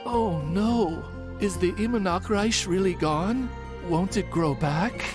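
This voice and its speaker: A woman's voice: mid-range voice, takes it slowly, over music, close to an everyday voice. A woman voicing an earnest, innocent little girl in an anime game, wide-eyed and childlike. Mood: dismayed.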